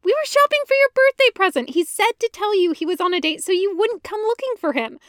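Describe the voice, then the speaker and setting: Woman putting on a skeptical, high-pitched teenage girl. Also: expressive, a little fast, a bit bright, no echo, clean studio sound. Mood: hesitant, dawning horror.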